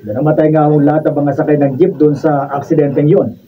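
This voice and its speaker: A man's voice: a little high, steady tone, quick pace, slightly rough. A Filipino man playing a respectful, matter-of-fact villager who speaks politely in a radio drama. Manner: polite, practical. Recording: tinny, distant, noisy drama take.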